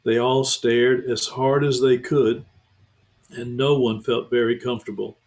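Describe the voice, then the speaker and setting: A man, a pastor, reading a children's novel aloud in a calm, measured way. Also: mid-range voice. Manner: calm, measured, slows for tension. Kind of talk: storybook read-aloud.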